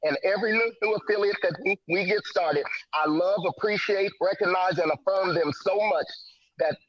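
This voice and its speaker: Male motivational speaker, very high voice, stutters, emphatic preacher-like encouragement, muffled online-call sound. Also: moderate pace. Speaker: motivational guest speaker at a sales team event. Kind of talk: motivational sales talk. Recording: dull lo-fi online call audio.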